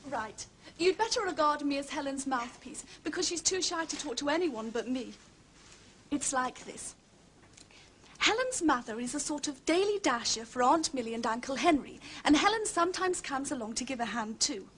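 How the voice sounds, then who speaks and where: A well-bred, chatty English girl in a period detective drama. Her high upper-class voice is confident and matter-of-fact, with jolly old-fashioned manners. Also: lively intonation, normal speed, a bit bright, a little hiss or hum. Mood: chatty, brisk.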